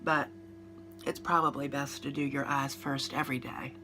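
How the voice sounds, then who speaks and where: A woman's voice: a bass voice, little pitch movement, normal speed, music in the background. Nasal, congested woman in her fifties. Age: 50s. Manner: chatty, rambling, with fillers and restarts. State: congested from a cold. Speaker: beauty vlogger. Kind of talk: casual makeup tutorial chat.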